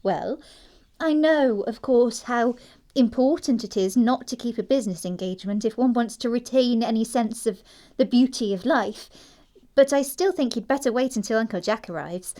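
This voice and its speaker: A prim young English lady played by a woman in an audio stage comedy. Her voice is fairly high, her manner earnest, artless and pert. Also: moderate pace, mellow, natural voice. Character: prim, naive yet pert young upper-class English girl.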